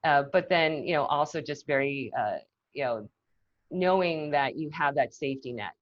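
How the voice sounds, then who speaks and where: A woman's voice: low-pitched, lively intonation, moderate pace, no room sound, muffled recording. A woman from Massachusetts, a marketing executive giving an interview, speaking thoughtfully with many "you know"s and restarts. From Massachusetts, north of Boston. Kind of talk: casual conversational interview.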